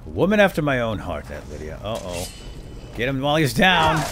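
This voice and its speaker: A man's voice: medium pitch, huge pitch swings, a little fast, a bit bright. A man giving lightly role-played commentary on a video game, muttering to himself with playful asides. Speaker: gamer commentator. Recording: game ambience noise behind voice. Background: video game cave ambience and effects.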